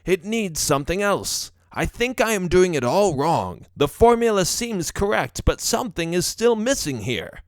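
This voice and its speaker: A man's voice: high-pitched, sing-song, normal speed, few pauses, no room sound, studio quality, pitched above the performer's own voice. A man voicing a storybook lab rat professor, earnest, fretful and fatherly, with an emphatic delivery. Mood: frustrated, fretful. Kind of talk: storybook character voice.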